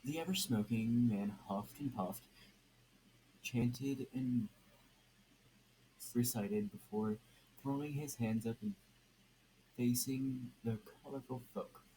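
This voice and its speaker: Slow, halting male story reader. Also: medium pitch, dark tone. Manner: halting, stumbling over text. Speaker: podfic co-reader. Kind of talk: scripted story narration, amateur. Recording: noisy amateur home recording.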